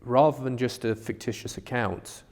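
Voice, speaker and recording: A man's voice: medium pitch, fairly even intonation, moderate pace, a little mellow. A man co-hosting a podcast, musing aloud in a casual discussion about religion, recorded with long reverb. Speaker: podcast co-host.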